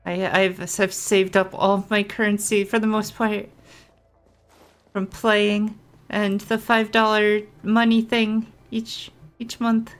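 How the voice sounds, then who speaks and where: A woman's voice: medium pitch, level tone, slow pace, soft background music. A woman doing casual gameplay commentary, playful and switching into reading game text aloud. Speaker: game streamer.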